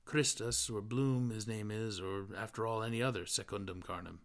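A man's voice: fairly low pitch, steady tone, moderate pace, fairly bright tone. A man voicing an aloof young intellectual in an audiobook, mumbling and noncommittal, dryly learned. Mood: detached. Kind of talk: literary audiobook dialogue.

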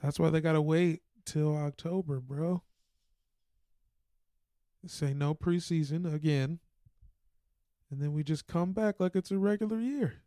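A fairly high-pitched American man bantering as a podcast co-host. His talk is slangy and comedic, with plenty of swearing. He is recorded dry, without echo. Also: measured pace, pauses often, dark tone.

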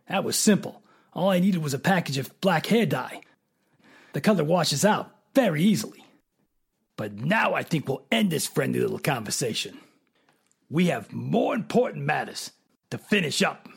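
An actor playing a smug private detective who is a cold killer in a radio mystery drama, with a raspy, gravelly voice and a coolly sardonic manner. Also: mid-range voice, big pitch swings, normal speed, full character voice. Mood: coolly menacing.

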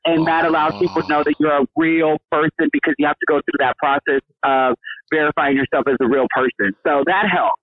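A man's voice: fairly high pitch, fairly even intonation, fairly quick, muffled recording. A man in his thirties, a podcast guest chatting casually in a flamboyant, campy way, calling everyone honey. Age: 30s. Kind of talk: casual interview chat.

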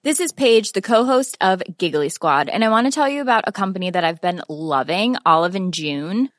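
A woman's voice: mid-range voice, expressive, a little fast, no room sound, clean studio sound. A woman giving a chatty, emphatic personal endorsement in a podcast sponsor read. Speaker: podcast co-host reading sponsor ad.

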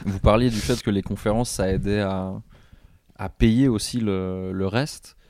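A man's voice: lowish voice, fairly even intonation, measured pace, darkish tone, no room sound. A man interviewing a guest, asking curious, polite questions with frequent hesitations. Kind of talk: casual interview chat.

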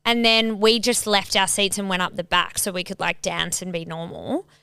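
A young Australian woman co-hosting a podcast, a loud, blunt and sweary storyteller in casual chat. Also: mid-range voice, flat delivery, normal speed, creaky, clean tone, no echo, clean studio sound. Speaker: podcast co-host.

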